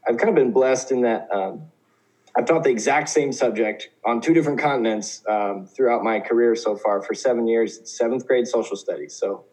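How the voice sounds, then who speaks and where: A man in his thirties, from Oklahoma, speaks as an interview guest at a moderate pitch. His talk is loose and conversational, full of fillers, false starts and small restarts as he thinks aloud. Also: normal speed, darkish tone, low-quality recording. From Oklahoma, USA. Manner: hesitant, many fillers and restarts. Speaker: guest teacher.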